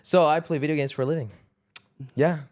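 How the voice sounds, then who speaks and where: A Dutch man in his thirties, a gaming creator chatting casually as a podcast guest, relaxed with lots of fillers. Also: a little high, brisk, dry recording, clean studio sound. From southern Netherlands.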